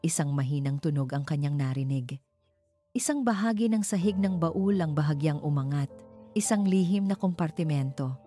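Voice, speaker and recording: A woman with a Filipino accent reading a scripted story in a measured, dramatic storytelling manner. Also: sits low, mellow. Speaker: narrator.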